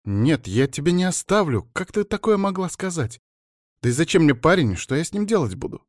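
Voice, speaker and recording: A man's voice: a little high, big pitch swings, brisk, dry recording, clean studio sound, pitched above the performer's own voice. A man voicing a young woman, a witch's daughter who pleads and protests. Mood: indignant.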